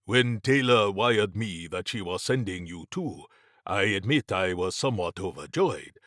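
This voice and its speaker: A man voicing a wry, erudite gentleman occultist for an audiobook, speaking formally and deliberately with a faintly pompous, understated manner. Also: deep voice, lively intonation, no room sound, studio quality. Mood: dry, wry. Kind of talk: audiobook character acting.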